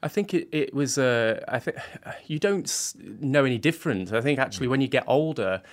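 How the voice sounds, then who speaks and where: A man speaking as a guest on a radio interview, earnest and halting, often restarting his sentences as he explains himself. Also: mid-range voice, lively intonation. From England. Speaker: guest, art student.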